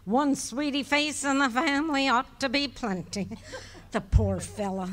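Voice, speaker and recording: A woman's voice: high-pitched, measured pace, fairly bright tone. An actress playing a cheerful, teasing wife in a live radio play, laughing often as she needles her husband. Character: cheerful teasing wife, good-natured and knowing.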